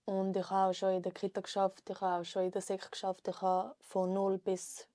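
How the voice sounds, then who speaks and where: A woman's voice: medium pitch, monotone, moderate pace, dark tone, dry recording, studio quality. A young woman with a Swiss German accent, a guest talking earnestly and chattily in a podcast conversation. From Switzerland. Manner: earnest, chatty, many fillers like voll and so. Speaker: guest rapper.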